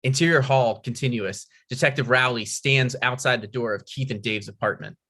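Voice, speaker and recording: Male stage-direction reader, forward bright tone, average pitch, scripted narration. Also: moderate pace. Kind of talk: reading screenplay directions aloud.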